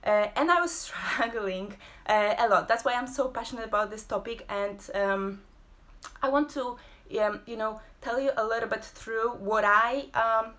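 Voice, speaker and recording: A woman talks casually from her home, with a slightly high voice. She speaks slowly, pausing often with fillers as she gathers her thoughts. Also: sharp tone. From Poland. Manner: hesitant, many fillers and pauses. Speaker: host and abstract painter. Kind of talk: casual live-stream talk.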